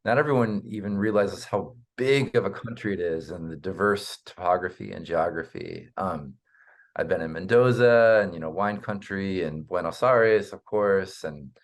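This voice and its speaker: A man hosting a podcast, asking questions in a relaxed, hesitant way with many fillers. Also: deep voice, normal speed. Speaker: podcast host. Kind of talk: casual interview hosting.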